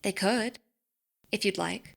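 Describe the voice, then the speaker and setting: An actress playing a composed, polite, evasive woman boss in an audio drama, speaking formally and with measured phrasing. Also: mid-range voice, creaky. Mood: conciliatory.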